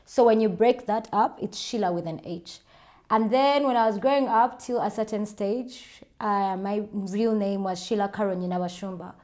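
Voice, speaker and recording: A woman's voice: medium pitch, normal speed, a little mellow. A woman with a Ugandan accent, chatting casually and self-assuredly as she answers viewer questions in a vlog. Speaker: vlogger.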